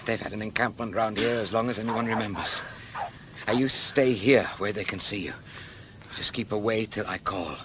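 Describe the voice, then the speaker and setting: A man's voice: on the low side, moderate pace, noisy background, close to an everyday voice. An actor playing a determined policeman on the run in a radio drama, urgent, clipped and commanding as he urges his companion on. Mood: firm, instructing.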